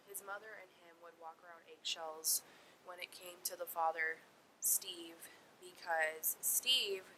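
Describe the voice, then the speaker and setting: A young woman with a nasal, congested voice from a cold and sniffles, telling a true crime story casually and informally to her viewers. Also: pushed and bright. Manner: informal, sweary, talks to viewers as friends. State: sick with a cold, sniffling, dry sore throat. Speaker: host of a true crime video series. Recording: poor mic with clear noise and rumble.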